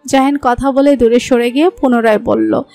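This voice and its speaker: A woman narrates a scripted romantic story in a Bangladeshi accent. Her voice is distinctly high, and quiet music sits underneath the reading. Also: steady tone, moderate pace.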